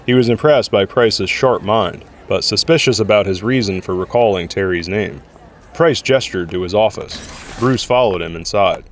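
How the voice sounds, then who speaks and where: Low-pitched male story narrator. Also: normal speed, a little hiss or hum.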